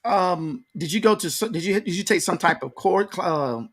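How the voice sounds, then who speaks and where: Higher-voiced American man hosting an interview. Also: expressive, normal speed. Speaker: interview host. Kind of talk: casual, conversational interview.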